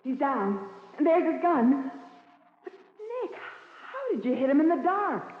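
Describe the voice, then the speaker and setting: An inquisitive sidekick in a vintage radio mystery. She is eager and very expressive, with long reverb on a thin, phone-like recording. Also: high voice, slow, a little hiss or hum, full character voice. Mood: excited. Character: eager, inquisitive female detective's assistant. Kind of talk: old-time radio drama acting.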